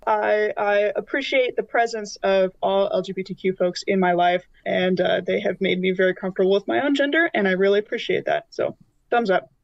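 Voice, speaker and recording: A woman's voice: mid-range voice, fairly even intonation, a little fast, creaky, dry recording, lo-fi sound. A woman chatting casually as a player on a tabletop roleplaying podcast. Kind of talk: casual chat.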